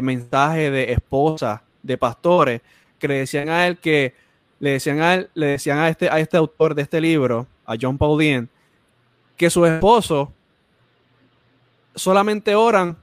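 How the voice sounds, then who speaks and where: A man's voice: a little high, a little slow, clear, resonant tone, no room sound. Preachy Spanish-speaking man host. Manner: preachy, repeats phrases for emphasis.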